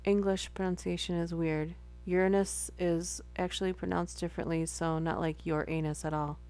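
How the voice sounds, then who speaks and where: Young woman, casual live-stream reader, rambling and giggly, a touch low-pitched. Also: little pitch movement, normal speed, a little mellow. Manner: chatty, giggly, rambling asides. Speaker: streamer reading articles aloud.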